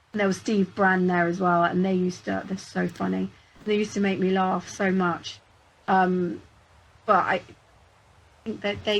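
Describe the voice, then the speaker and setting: A woman voice actor answering panel questions in casual chat, laughing often and telling animated stories. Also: mid-range voice, husky, low-quality recording. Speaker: voice actor guest.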